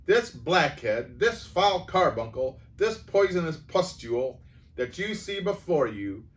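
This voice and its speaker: A man voicing a furious, bullying headmistress who barks and booms insults at a child. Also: sing-song, a little slow. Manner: booming, barking insults. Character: bullying hulking headmistress, woman, furious and cruel.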